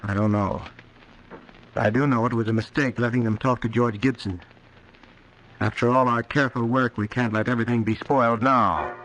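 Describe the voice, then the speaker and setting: A man's voice: mid-range voice, fairly even intonation, moderate pace, a bit bright, close to an everyday voice. A man playing a scheming, menacing nephew with false politeness in an old-time radio horror drama. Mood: tense, calculating. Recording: noisy old radio recording.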